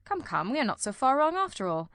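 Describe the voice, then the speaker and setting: A woman voicing a shrewd, self-assured male detective in an audiobook, with a brisk, precise and dryly witty manner. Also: high voice, very animated, fairly bright tone, no room sound, pitched above the performer's own voice, exaggerated voice. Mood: dryly amused. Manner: brisk, precise, dry, commanding. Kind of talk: audiobook character voice.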